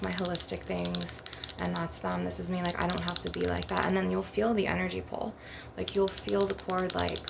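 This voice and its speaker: A woman's voice: fairly low pitch, vocal fry. A woman giving a rambling, casual ASMR monologue as a spiritual healer, in an informal, meandering way peppered with filler phrases. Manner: informal, meandering, frequent "like" and "you know". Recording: loud clicking and tapping noise throughout. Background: crystal and glass tapping.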